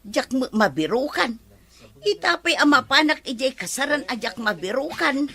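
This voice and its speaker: A chatty, insistent village wife with an Ilocano accent in a radio comedy. Her voice sits fairly high and moves in lively swings. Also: normal speed, slightly rough, exaggerated voice. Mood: chatty, pleading.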